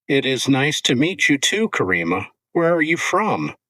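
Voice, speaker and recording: A man co-hosting an English-learning podcast, speaking in clear, simple scripted sentences. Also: medium pitch, very animated, normal speed, fairly bright tone, dry recording. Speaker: podcast co-host.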